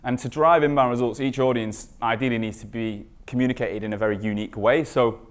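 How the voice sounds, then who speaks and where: A man's voice: medium pitch, fairly even intonation, quick pace, clear, resonant tone. A man presenting as a conference speaker, informal and emphatic, often posing rhetorical questions. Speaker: conference speaker, recruitment marketing expert.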